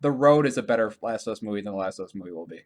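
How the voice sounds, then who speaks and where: A man co-hosting a podcast in casual conversation, informal and thinking out loud as he talks. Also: fairly low pitch, lively intonation, very fast. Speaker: podcast co-host. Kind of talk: casual podcast chat about games and films.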